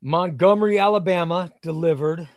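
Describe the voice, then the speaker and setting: A man's voice: on the high side, moderate pace, no echo, highs cut off. A man from Illinois whose first language was Spanish, hosting a casual livestream and reading viewer comments in a rambling, offhand way with some swearing. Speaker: comic book seller and livestream host.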